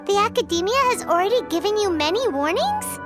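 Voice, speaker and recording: A woman voicing a tiny, chirpy and blunt floating fairy companion in a video game, with game music behind her. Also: fairly quick. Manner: high, bouncy and excitable.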